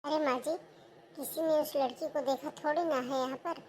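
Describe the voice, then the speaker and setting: A woman's voice: high-pitched, steady tone, moderate pace, a little mellow, a little hiss or hum, lightly acted. A woman voicing a greedy, scheming young daughter-in-law in a cartoon, bossy and pushy. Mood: persuasive. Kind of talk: Hindi cartoon voice acting.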